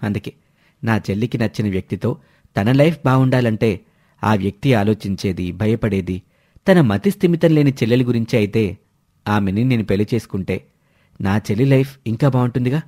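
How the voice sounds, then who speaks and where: A man voicing a selfless young elder brother, explaining himself calmly and earnestly in an animated moral story. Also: lowish voice, fairly quick, close to an everyday voice. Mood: earnest, resolved.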